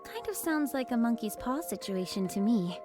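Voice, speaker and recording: A woman's voice: a little high, sing-song, moderate pace, music in the background. An actress playing a spirited, determined female squad leader in an anime game, earnest and slightly formal. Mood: cheerful, curious. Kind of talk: scripted anime game voice acting.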